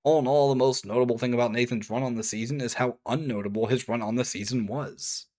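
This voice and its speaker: A man's voice: medium pitch, steady tone, quick pace, warm tone. Casual male reviewer with a strained voice. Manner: casual, with offhand asides. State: voice strained and hoarse. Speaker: video reviewer. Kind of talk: scripted review narration.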